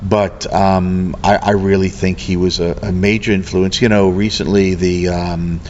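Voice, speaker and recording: Low-pitched American man, earnest and halting. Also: little pitch movement, a little mellow. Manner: earnest, halting, circles back mid-sentence. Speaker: interviewee, local arts program representative. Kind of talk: casual interview conversation. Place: event venue before a program starts. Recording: dull, noisy on-location recording.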